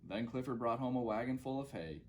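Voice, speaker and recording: A man's voice: mid-range voice, a little fast, slight background noise. A man reading a children's storybook aloud as a guest reader.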